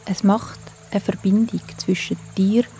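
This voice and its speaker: Slow, soft-spoken Swiss German woman storyteller. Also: medium pitch, steady tone, music in the background. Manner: soft, hushed, soothing. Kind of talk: bedtime story narration.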